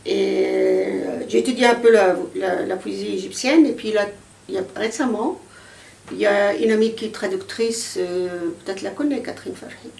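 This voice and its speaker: Egyptian woman, hesitant and gravelly. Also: mid-range voice, normal speed, darkish tone. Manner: hesitant, many fillers and restarts. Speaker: poet interviewed. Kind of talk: casual conversational interview. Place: outdoor poetry fair in a city square. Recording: echoey, from a distance, faint outdoor noise.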